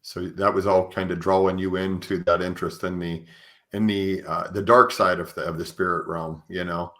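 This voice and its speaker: A man with a low voice acts as an interviewer on a podcast. He speaks conversationally, feeling his way through probing questions and rephrasing them as he goes. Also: flat delivery, moderate pace, pushed and bright. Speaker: podcast host interviewing a guest.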